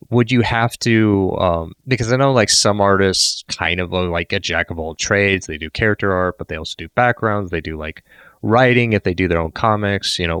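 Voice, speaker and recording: Man, podcast host, very deep voice, smooth, rambling casual questions, dry close mic. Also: bright, forceful tone, no room sound. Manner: rambling, filler-heavy questions. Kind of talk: casual interview chat.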